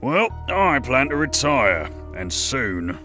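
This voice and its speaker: An actor playing a gruff, cautious veteran pirate in a musical audio drama, blunt and weary in manner. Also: male voice, mid-range voice, big pitch swings, slow, sharp tone, faint music behind, exaggerated voice. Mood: reasonable. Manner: blunt, weary, plain-spoken.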